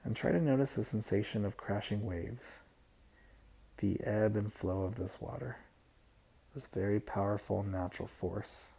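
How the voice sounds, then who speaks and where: A man leading a guided imagery meditation, giving soft-spoken, unhurried instructions with pauses between phrases. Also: lowish voice, creaky, husky.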